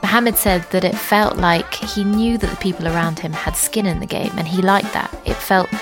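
Faint music plays behind a woman with a lowish voice. She narrates as a journalist host, in scripted but conversational podcast style. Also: fairly even intonation, normal speed.

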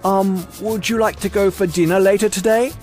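A man's voice: fairly high pitch, animated, normal speed, fairly bright tone, soft background music. A man acting the dialogue of young men in a narrated romantic drama story. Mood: nervous.

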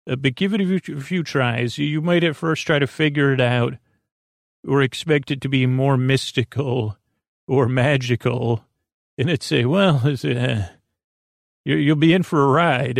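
A man with a creaky voice hosting a sleep podcast, soothing and meandering, halting as he wanders off on gently absurd, self-deprecating tangents. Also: mid-range voice, no room sound, studio quality. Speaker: host of a bedtime sleep podcast. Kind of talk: rambling, soothing bedtime monologue.

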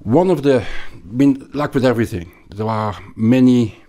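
A man's voice: mid-range voice, moderate pace, mellow. A middle-aged man from Belgium with a Belgian accent, a finance guest explaining in an interview, often repeating words and restarting. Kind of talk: conversational interview.

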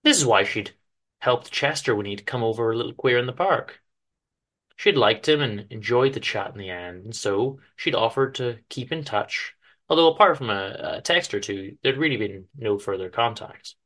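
A man's voice: mid-range voice, lively intonation, moderate pace, a bit bright. A man giving a dramatic reading of his own story, with wry, informal asides.

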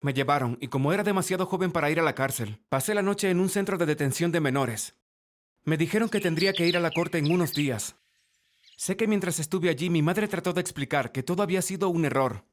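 A man's voice: fairly high pitch, fast. A man reading a scripted first-person story as narrator.

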